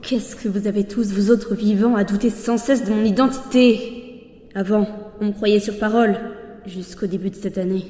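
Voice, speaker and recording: A woman's voice: mid-range voice, fairly even intonation, normal speed, mellow, roomy sound, long reverb tail, natural voice. A woman playing the Ankou, death's supernatural servant, with composed, dry and sardonic delivery in a French audio fiction. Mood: stern, sardonic. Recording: music under the voice.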